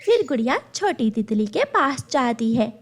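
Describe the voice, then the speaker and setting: A woman's voice: fairly high pitch, very animated, moderate pace, husky. A woman narrating a scripted children's story.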